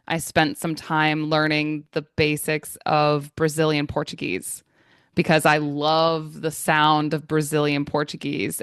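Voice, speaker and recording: American woman, English trainer; low, slow, smooth voice, dry close sound, measured and reflective. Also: fairly bright tone, dry recording. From United States. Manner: thoughtful, measured, with frequent fillers. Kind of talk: conversational interview answers. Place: Florida.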